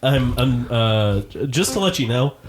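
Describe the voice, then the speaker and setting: A man's voice: medium pitch, lively intonation, measured pace, very noisy. A man co-hosting a podcast who sounds congested, telling a long, rambling story in casual chat and swearing freely. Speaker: podcast co-host.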